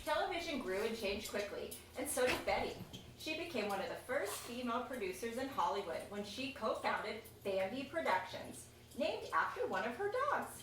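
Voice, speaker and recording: Female storybook reader, higher-pitched and expressive, casual asides, recorded at a distance from the mic. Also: moderate pace. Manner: expressive, chatty, pointing out pictures. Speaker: reader of a children's picture book. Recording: echoey room, distant mic, faint noise.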